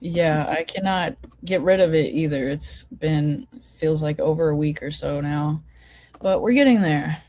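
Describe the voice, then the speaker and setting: A woman with a low voice, hoarse from a lingering cold, talks attendees through a website over a video call. Her delivery is informal and full of fillers and restarts. The sound is dry and close, with no room echo. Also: a little slow, darkish tone. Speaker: forum host. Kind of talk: casual online meeting walkthrough.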